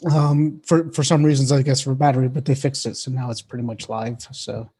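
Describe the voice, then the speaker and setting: Informal man co-hosting, mid-pitched voice. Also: flat delivery, brisk, warm tone, husky. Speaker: podcast co-host. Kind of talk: casual tech chat.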